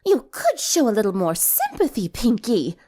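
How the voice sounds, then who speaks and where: A woman's voice: fairly high pitch, wildly swooping pitch, moderate pace, warm tone, slightly rough, voice pushed up, exaggerated voice. A woman voicing a genteel, fussy, sympathetic teenage girl with refined phrasing in a story reading. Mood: fussing.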